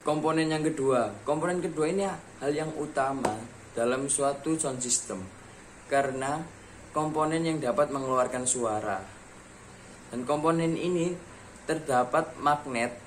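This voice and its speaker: A man at an everyday middle pitch reads a scripted technical lesson, calmly moving from one numbered point to the next. A faint hum of ambience sits under the voice-over. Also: rough recording. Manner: reads steadily from a script, listing steps in order. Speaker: student presenter.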